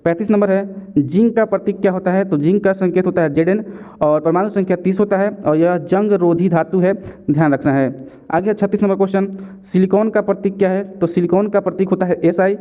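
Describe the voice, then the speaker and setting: A man's voice: high voice, steady tone, a little fast. A man teaching exam questions and answers in a didactic way, repeating key points as reminders. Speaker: exam-prep chemistry teacher. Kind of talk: question-and-answer lesson narration. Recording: narrow, tinny phone-like sound.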